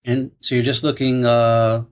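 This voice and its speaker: A man's voice: mid-range voice, level tone, unhurried, smooth. A man hosting an interview, upbeat and eager, firing off casual follow-up questions. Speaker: interviewer, podcast host.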